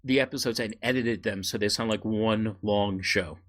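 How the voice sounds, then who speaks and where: A man's voice: on the low side, steady tone, moderate pace, slight background noise. An American man in his fifties from New York City, talking casually as a podcast co-host. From New York City, United States. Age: 50s. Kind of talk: casual chat about old-time radio.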